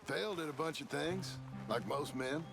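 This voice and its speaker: An actor playing a weary, evasive American ranch hand and father in a video game, speaking in a guarded, plainspoken way with a gravelly voice. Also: on the high side, animated, normal speed. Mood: guarded, subdued. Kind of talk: naturalistic video game acting. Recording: music behind the voice.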